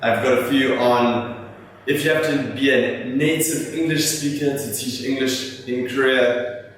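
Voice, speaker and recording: A man's voice: medium pitch, fairly even intonation, a little slow. A young man hosting a vlog, casually answering viewer questions and rambling a little, with fillers and restarts. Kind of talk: casual talk to camera. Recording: echoey room from far off, faint noise.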